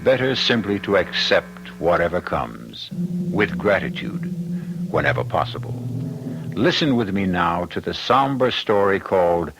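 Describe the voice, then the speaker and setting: A man hosting a mystery radio show, giving a somber scripted introduction in a deep, gravelly voice on a hissy old recording. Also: big pitch swings, a little slow, a bit bright, rough recording. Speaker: radio drama host.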